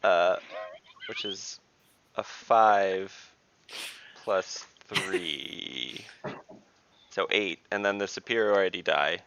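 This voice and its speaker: A man's voice: sits low, drawn-out words, vocal fry, pauses often, clean tone, no room sound, muffled recording. A man playing in an online tabletop game, explaining his moves and rolls in a rambling, casual way. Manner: lively, rambling, explains rules aloud. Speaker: player.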